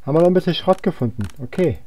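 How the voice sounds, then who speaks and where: A man's voice: a little high, expressive, rapid-fire, mellow. A young man giving casual gameplay commentary, rambling and thinking aloud as he plays. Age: young adult. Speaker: let's player.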